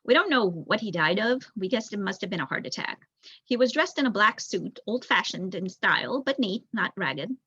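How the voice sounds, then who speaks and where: A woman's voice: medium pitch, lively intonation, normal speed, bright, forceful tone, slightly rough, no room sound, muffled recording. A young woman reads a storybook aloud as narration, expressively, with an occasional stumble. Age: young adult. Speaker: volunteer audiobook reader.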